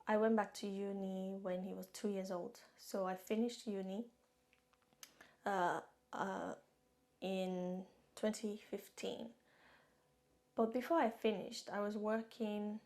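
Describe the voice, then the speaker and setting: A Nigerian woman in her thirties tells her story straight to camera in a relaxed vlog. She talks in a chatty way, often laughs and goes back over her own words, and she is recorded on a camera-mounted mic. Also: medium pitch, slow pace, pauses often. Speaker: vlogger. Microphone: camera-mounted shotgun mic.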